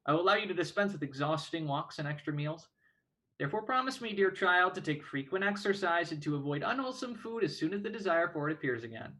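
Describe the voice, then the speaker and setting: A man's voice: a little high, lively intonation, fast talker, pushed and bright, rough recording. A man playing a courteous, breezily reassuring doctor with formal, pompous delivery in a dramatic reading of an old play. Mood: instructing.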